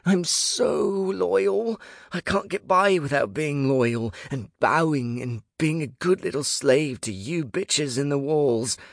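An unhinged hero of an audiobook , acted out by a male narrator, panicked and grovelling. The voice jumps high above a normal speaking register, with overwrought, pleading outbursts. The recording is dry and close. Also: slow, no echo.

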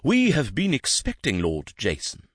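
A man's voice: medium pitch, huge pitch swings, unhurried, no room sound, clean studio sound, pitched above the performer's own voice. A man voicing a polite, formal young officer of the court. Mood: courteous.

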